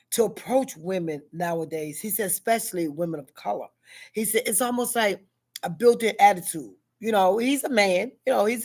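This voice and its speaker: A woman in her sixties with a deep, heavy voice, chatting casually in a vlog, rambling with many fillers and questions to herself. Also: a little mellow. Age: 60s. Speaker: vlogger. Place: at school.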